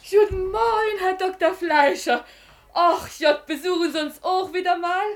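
A woman's voice: very high voice, moderate pace. An actress in a German radio play voicing a brash, cunning working-class washerwoman and mother with a Berlin accent, earthy and bossy but able to wheedle. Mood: coaxing, effusive. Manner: earthy, scolding, switching to chummy coaxing.

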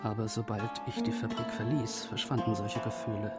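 Actor as sober, even-toned wartime witness. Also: male voice, on the low side, moderate pace, over loud music, lightly acted. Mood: sombre, restrained. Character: sober witness recalling wartime factory work. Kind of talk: scripted first-person radio drama narration.

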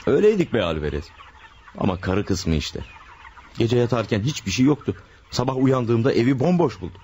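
An actor playing a scheming middle-aged man who killed his wife and son, smooth and gloating. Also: medium pitch, sing-song, a little fast, darkish tone, a little hiss or hum, lightly acted. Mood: gloomy. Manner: smooth, gloating and sweet-talking. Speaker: radio drama actor. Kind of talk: vintage radio drama acting.

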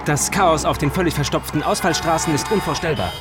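Polished male news anchor played by an actor; slightly high register, measured, loud music bed, radio drama. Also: lightly acted. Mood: formal. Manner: formal, measured.

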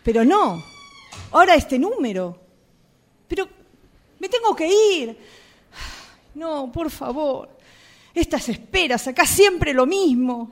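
A woman playing an impatient, fed-up customer grumbling and complaining in a radio theatre exercise. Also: high-pitched. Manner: complaining, exasperated. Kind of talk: radio theatre workshop monologue.

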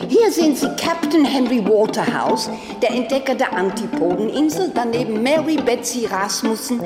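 A woman's voice: fairly high pitch, animated, moderate pace, lightly acted. Echoey, formal female museum guide, played by an actress. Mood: formal, presenting. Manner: formal, presenting. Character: female museum guide addressing a tour group. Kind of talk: guided tour patter. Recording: echoey hall under loud music.

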